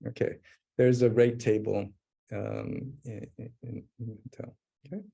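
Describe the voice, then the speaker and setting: Slow, gravelly man demoing software. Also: mid-range voice, flat delivery. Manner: thinking aloud while typing, many fillers and restarts. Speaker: presenter demonstrating software. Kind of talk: live webinar demo.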